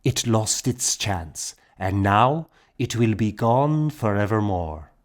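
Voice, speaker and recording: A man's voice: lowish voice, lively intonation, takes it slowly. A man as a tender, assured young woman. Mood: tender assurance.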